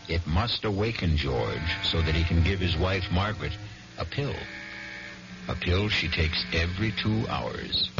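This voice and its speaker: An actor narrating a 1970s radio mystery as a grave, ominous storyteller. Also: male voice, deep voice, creaky, background noise, full character voice. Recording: dull old radio, loud music.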